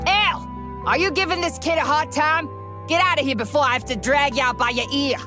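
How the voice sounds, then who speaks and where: A woman acts a world-weary older bartender in a visual novel. She talks folksy and no-nonsense, motherly but tough, in a slightly high voice. Music is clearly heard behind her. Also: a little fast, natural voice. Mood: scolding. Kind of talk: visual novel character acting.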